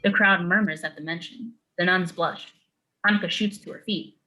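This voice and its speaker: A woman's voice: fairly low pitch, fairly even intonation, normal speed, bright, forceful tone, poor audio. A woman narrating a screenplay, reading out scene headings and stage directions as scripted narration.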